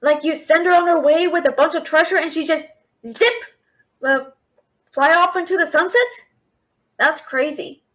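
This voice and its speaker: A woman playing a feisty young thief and alchemist held captive by a dragon, expressive and sassy in a one-sided fantasy audio roleplay. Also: high voice, normal speed, pauses often. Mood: incredulous, skeptical. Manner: expressive, incredulous, sassy, questioning.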